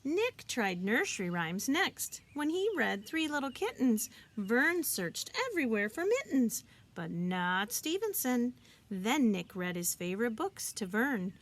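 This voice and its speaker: Slow, expressive woman reading a storybook. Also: fairly high pitch. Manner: expressive, deliberate delivery for children. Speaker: teacher reading a picture book aloud. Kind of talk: children's storybook read-aloud.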